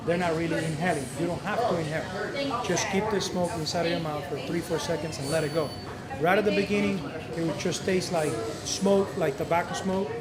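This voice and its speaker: A man whose first language is not English hosts a live cigar lesson in a cigar shop, coaching patiently and repeating key points. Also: on the high side. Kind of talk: live instructional talk. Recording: echoey room with crowd chatter.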